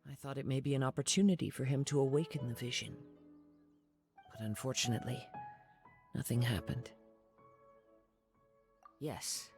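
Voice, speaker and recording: A man playing a calm, reflective young wandering samurai in a video game, speaking in measured, formal, poetic phrases. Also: medium pitch, lightly acted. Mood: calm, thoughtful. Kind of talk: video game story dialogue and narration. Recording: music behind the voice.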